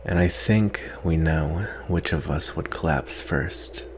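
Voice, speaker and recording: A man acting out a proud, sardonic captive vampire in a soft-spoken, disdainful ASMR roleplay. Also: a bass voice, slow, a little hiss or hum, full character voice. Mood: dry, wry. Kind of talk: ASMR roleplay, acted monologue.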